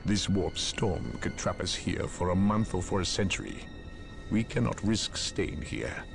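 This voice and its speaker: A cold, condescending inquisitor. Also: male voice, deep voice, very animated, measured pace, gravelly, music in the background, full character voice. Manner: clipped, cutting, condescending politeness. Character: cold, arrogant male inquisitor, condescending official. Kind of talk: video game cutscene acting.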